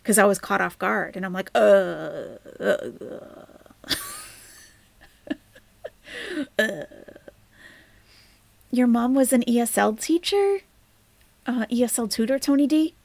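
Chatty woman with a gravelly voice. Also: on the high side, lively intonation, slow, long pauses, a little mellow, no room sound. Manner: chatty, rambling, laughs often, drops to near-whisper asides. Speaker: live stream host. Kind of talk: casual chat reading viewer comments.

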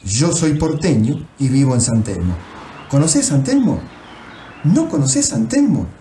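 A man's voice: on the high side, very animated, a little slow, dark tone. A talkative man in his forties from Buenos Aires, voiced by an actor, who says vos and che, with a Buenos Aires Spanish accent. His voice swings widely up and down as he chats away in this audiobook. A faint hiss sits under the recording. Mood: chatty. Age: 40s. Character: chatty porteño man, psychologist and tango pianist. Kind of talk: audiobook character reading.

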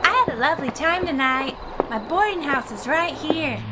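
A woman's voice: high-pitched, animated, normal speed. A woman playing a coy, giggly young sweetheart on a date in a pulp radio parody. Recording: loud music behind the voice.

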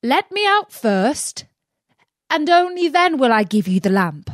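A woman's voice: high voice, very animated, a little slow, dry recording, clean studio sound, voice pushed up. A woman voicing a plucky, fearless young boy in a storybook, calling out earnestly. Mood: defiant.

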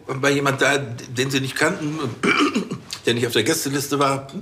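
A man's voice: mid-range voice, steady tone, moderate pace, sharp tone, a little hiss or hum, close to an everyday voice. An actor playing a self-important, bumbling policeman who stammers and gets huffy. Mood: flustered.

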